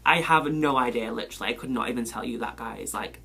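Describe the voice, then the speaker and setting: A young man with a Mancunian accent chatting casually as a beauty vlogger, rambling through questions with lots of "like" and sudden high exclamations. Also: fairly quick, vocal fry, sharp tone, breathy edge. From England. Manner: chatty, rambling, full of "like" and "you know"; pitch leaps up sharply when exclaiming. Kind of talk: casual chat answering a question tag.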